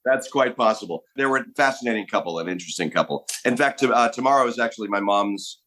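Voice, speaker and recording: A middle-aged man from Los Angeles, a guest on a podcast, telling stories in a relaxed, casual way. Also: on the low side, fast. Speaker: guest actor. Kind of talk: casual interview chat.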